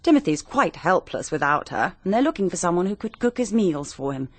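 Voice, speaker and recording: An actress playing a brisk, practical married Englishwoman in a British radio mystery drama, with an English accent and a crisp, businesslike manner. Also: lowish voice, very animated, dry recording. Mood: brisk, composed.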